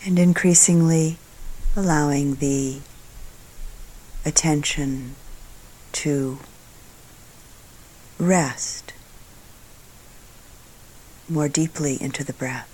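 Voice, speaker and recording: Very slow, soft woman guiding meditation. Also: low voice, poor audio. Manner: calm, deliberate, long pauses between short phrases. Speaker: meditation teacher. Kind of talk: guided meditation.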